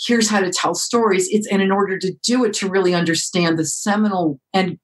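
An animated, emphatic woman author talking as a guest on an interview podcast. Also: medium pitch, husky. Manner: animated, emphatic, quick bursts of speech.

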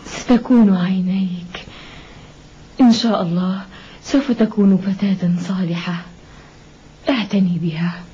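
A woman's voice: medium pitch, a little slow, pauses often, mellow, natural voice. An actress playing a frail, dying young mother in a cartoon dub, loving, sorrowful and weakly pleading. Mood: tender, pleading. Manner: weak, tearful, pleading. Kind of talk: dramatic animated-film dubbing. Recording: dull, noisy old cartoon soundtrack.

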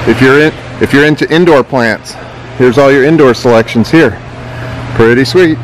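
A man's voice: on the high side, noisy background. A man, an upbeat host giving a walking tour of a greenhouse nursery, camera held at arm's length. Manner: upbeat, salesy, inviting. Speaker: business owner and channel host. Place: large greenhouse nursery, indoors.

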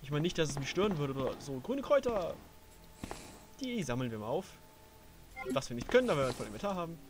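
A man's voice: on the high side, big pitch swings, quick pace, background noise. A young man giving casual, slangy and crude gaming commentary as he plays. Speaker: let's play host, playing the game. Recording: game music behind the voice. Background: video game music.

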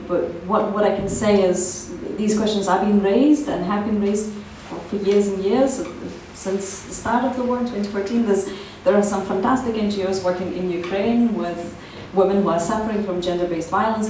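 A woman answering as a panel speaker in a measured, earnest way, with pauses to find her words. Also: medium pitch, a little mellow. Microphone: handheld microphone, heard from far camera. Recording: echoey theatre, distant, faint noise.

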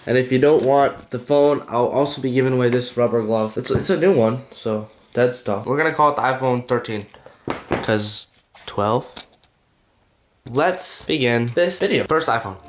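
Male vlogger, twenties, congested voice, mid pitch, casual deadpan humor, indoor recording with faint noise. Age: 20s. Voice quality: congested, stuffy nose. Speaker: YouTube vlogger, custom artist. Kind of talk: casual, joking vlog talk to camera. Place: indoors, room at home.

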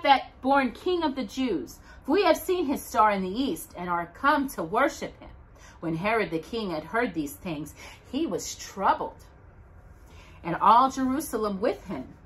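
Animated, slow-paced woman reading a story aloud. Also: mid-range voice, poor audio. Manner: animated, deliberate, dramatic storytelling with emphatic pauses. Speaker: host of a children's storytime. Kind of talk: expressive read-aloud of Bible verses and a children's Christmas book. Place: living room at home, indoors by a brick fireplace.

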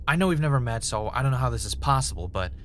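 A man's voice: medium pitch, lively intonation, rapid-fire, fairly bright tone, slight background noise, close to an everyday voice. A man playing a driven, uneasy podcaster investigating mysterious tapes, earnest and conversational in an audio drama. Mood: flustered. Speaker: podcast host.

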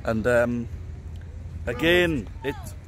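A man in his thirties with a British accent and a hoarse voice, chatting casually to a handheld camera. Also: mid-range voice, animated, drawn-out words. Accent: British English. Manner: chatty, self-filming at arm's length. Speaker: vlogger. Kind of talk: casual vlog chat. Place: theme park outdoors and hotel room. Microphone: camera at arm's length. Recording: loud background noise.

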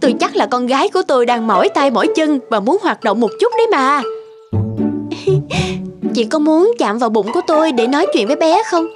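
A woman's voice: high voice, lively intonation, a little fast. A woman playing a humble, kind young housekeeper in a cartoon, speaking politely and deferentially. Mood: gentle, happy. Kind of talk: Vietnamese cartoon voice acting. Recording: music behind the voice.

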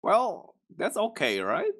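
A man's voice: high voice, huge pitch swings, fairly bright tone. A man co-hosting a casual live reaction chat, hesitant and full of fillers. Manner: slow, hesitant, with many fillers. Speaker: co-host.

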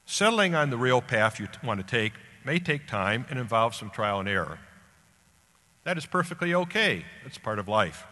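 An older American man, around seventy, delivering a formal scripted commencement address at a podium microphone in deliberate, emphatic phrases. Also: mid-range voice, very animated, sharp tone. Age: older, about 70. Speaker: guest speaker at a graduation ceremony. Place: large indoor auditorium stage at a podium. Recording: echoey hall public-address sound.

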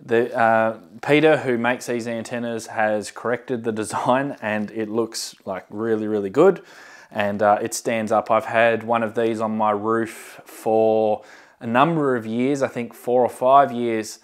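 A man's voice: fairly low pitch, steady tone, unhurried. A man in his thirties talking casually to camera as a hobbyist video host, giving a hands-on show-and-tell. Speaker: amateur radio hobbyist and channel host. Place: indoor workshop storeroom with shelving. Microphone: lapel mic.

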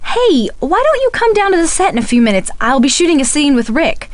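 A woman playing a friendly, earnest young soap opera actress in a video game, with an American accent and an expressive conversational delivery. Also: high voice, a little fast, dry recording. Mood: chatty. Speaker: video game character voice actor. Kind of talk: scripted game dialogue.

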